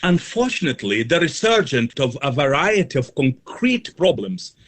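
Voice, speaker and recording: A man speaking as a guest in a radio interview, answering in a formal, measured and wordy manner. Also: fairly high pitch, big pitch swings, a bit bright.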